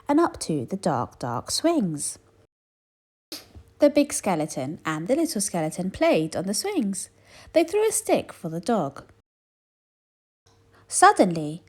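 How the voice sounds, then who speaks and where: A woman reading a children's story aloud in an animated, expressive way with dramatic emphasis. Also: on the low side. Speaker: storybook reader.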